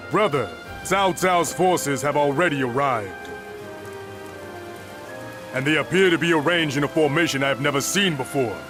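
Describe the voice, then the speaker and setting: Man playing a grave warrior general, formal and solemn, mid-pitched voice, music playing behind. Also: moderate pace, pauses often, close to an everyday voice. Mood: resolute. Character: noble loyal male warlord, grave and formal. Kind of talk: video game cutscene dub. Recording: game audio with loud music.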